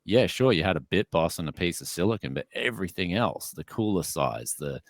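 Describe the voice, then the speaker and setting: A man in his thirties with a very low voice talks loosely over a video call from his home studio. The sound is dry and close, with no room around it. He rambles through his points and keeps calling people "man" and "dude". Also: expressive, brisk, dry recording. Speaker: tech YouTuber guest. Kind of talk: casual video call chat.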